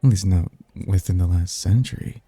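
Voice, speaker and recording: An actor voicing a suave, flirtatious male demon in an ASMR roleplay, with a low, husky, gravelly voice and a teasing, smooth-talking manner. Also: moderate pace, mellow, dry recording. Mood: bored, then intrigued.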